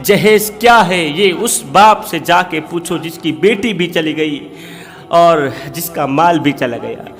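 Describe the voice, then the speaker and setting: A man's voice: on the high side, slow. A man preaching a moral story in a dramatic, emotive way, emphatically repeating his phrases. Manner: emphatic, rhetorical repetition. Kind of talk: Urdu-style religious sermon storytelling, oratory. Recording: long hall-like reverb on voice.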